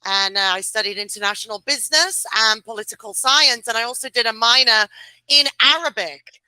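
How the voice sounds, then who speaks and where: A woman speaking as a guest on a video call, chatty and quick to laugh, with frequent uhs. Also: fairly high pitch, sharp tone, clean tone, no echo, poor audio. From United Kingdom. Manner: chatty, laughs easily, fillers. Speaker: guest, client relations director. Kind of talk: casual interview chat.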